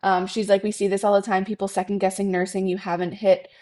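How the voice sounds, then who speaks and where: A woman's voice: mid-range voice, level tone, quick pace, mellow. A woman from Connecticut who works as a nurse, talking as a podcast guest in a chatty way with many ums and likes. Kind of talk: conversational interview.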